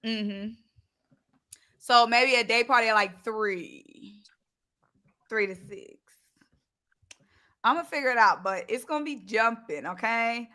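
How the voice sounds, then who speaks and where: Casting: American woman, thirties, teasing talk-show co-host, sharp bright tone, slightly high, relaxed slang. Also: takes it slowly, pauses often. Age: 30s. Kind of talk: casual chat.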